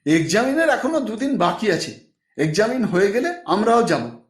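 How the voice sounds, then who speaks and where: A hurried schoolboy, acted by a male narrator, speaks simply and respectfully. The storybook voice is high and lively, with echo around it. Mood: busy, apologetic. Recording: echoey room.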